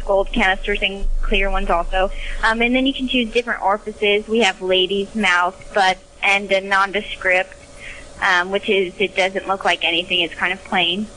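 A woman's voice: mid-range voice, sharp tone. A woman answering interview questions by phone as a company representative, explaining things in a plain, matter-of-fact way. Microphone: telephone line. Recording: noisy phone interview recording.

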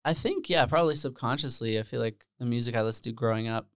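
A man's voice: mid-range voice, fast, dry recording, studio quality. A man in his twenties, born in the Netherlands, chatting casually as a guest musician, rambling with plenty of fillers. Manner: rambling, self-deprecating, many fillers. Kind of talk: casual interview chat. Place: small room with bare walls. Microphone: handheld dynamic mic, headphones on.

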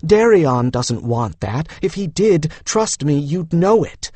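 A man's voice: fairly high pitch, sing-song, takes it slowly, darkish tone, dry recording, exaggerated voice. A man voicing a frank young woman in an audiobook, coaxing and lecturing insistently. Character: frank, coaxing young woman, exasperated older-sister-style adviser. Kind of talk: audiobook character dialogue.

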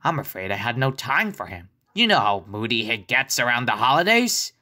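A man as a dry, bright-voiced cartoon bird. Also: mid-range voice, very animated, normal speed. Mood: weary, concerned. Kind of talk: comic dub character voice. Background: faint music.

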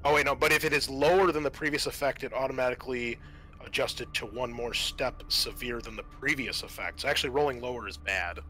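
A man's voice: medium pitch, fairly quick, pushed and bright. A man running a tabletop roleplaying game as game master, talking casually and swearing freely.

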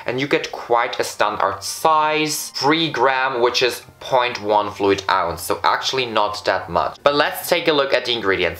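A man's voice: medium pitch, bright, forceful tone. A young man in his twenties from Austria with an Austrian German accent, reviewing lipsticks in a camp, gushing vlog style. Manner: camp, flamboyant, gushing exclamations. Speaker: beauty vlogger. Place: bright indoor room in front of a patterned backdrop.

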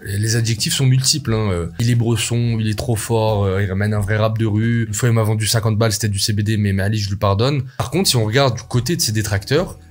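A young man with a husky voice, narrating a playful rap commentary video from a small room at home. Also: on the low side, soft background music. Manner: playful, jokey asides, quick delivery. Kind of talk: scripted video essay narration.

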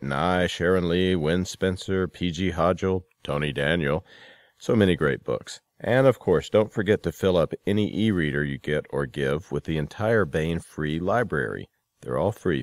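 A man with a notably low voice speaks as a podcast host. He delivers announcements and introductions in a presenter's style. The sound is dry, with hardly any room on it. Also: no room sound. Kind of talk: scripted promotional reading and podcast introductions.

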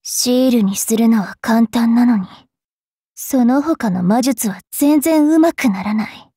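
A woman voicing a bratty, cruel young fairy witch in an anime game, haughty and taunting with a rough tongue. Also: fairly high pitch, a little slow, mellow, dry recording, studio quality. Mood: moody, tired. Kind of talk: Japanese anime game character voice lines.

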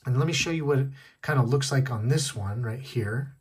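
Male tech tutorial host, a bit husky, casual step-by-step delivery. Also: medium pitch, fairly quick. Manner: step-by-step explaining, repeating key points. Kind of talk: casual hands-on demonstration. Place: indoors at a wooden desk.

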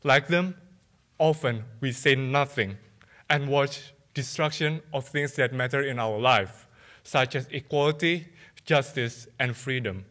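A young man from Indonesia, a student, giving a measured, emphatic competition speech at a lectern microphone in a large theatre. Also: mid-range voice, fairly bright tone. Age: young adult. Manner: measured, emphatic oratory with pauses. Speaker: student orator.

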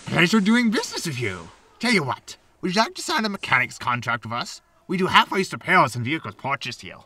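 A man's voice: fairly high pitch, wildly swooping pitch, brisk, bright, forceful tone, no room sound, far from the mic, full character voice. An actor playing a smarmy, irritable male used-spaceship salesman in a sci-fi comedy audio drama. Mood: pushy.

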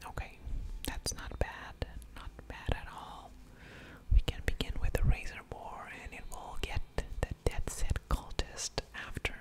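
A woman whispers right up close, entirely unvoiced and breathy. She takes her time, murmuring her thoughts aloud as ASMR commentary on a game. Small mouth sounds come through between the unhurried phrases. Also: rough recording. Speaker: gaming ASMR video host.

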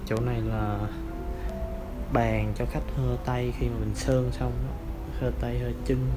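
A man in his thirties from Vietnam, giving a relaxed tour of his workplace and filling his talk with hesitant pauses. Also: mid-range voice, loud music behind the voice. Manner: relaxed, hesitant, many fillers. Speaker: vlogger. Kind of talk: casual vlog tour. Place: indoor back room at work, then walking outside and through a nail salon. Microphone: clip-on lapel mic.

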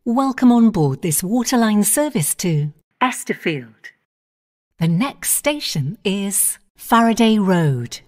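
A woman giving automated onboard train announcements in measured, clipped phrases, naming each station in turn. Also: mid-range voice, sing-song, darkish tone.

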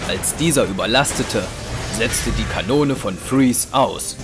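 A man's voice: mid-range voice, expressive, unhurried, music in the background. A man reading scripted narration for an audio drama.

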